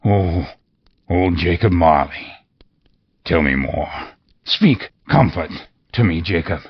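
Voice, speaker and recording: A man's voice: very deep voice, animated, unhurried, slightly rough, no room sound, heavily acted. Man doing a stammering frightened old miser. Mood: terrified pleading. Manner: stammering, trembling pleas. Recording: dull lo-fi recording with faint hiss.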